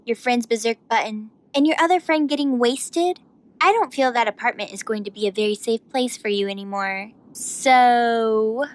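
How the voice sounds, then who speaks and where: A woman's voice: a little high, moderate pace, natural voice. Brash, domineering young woman, acted by a woman. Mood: persuasive, insistent. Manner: severe, confident, pushy and foul-mouthed. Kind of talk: audio drama acting.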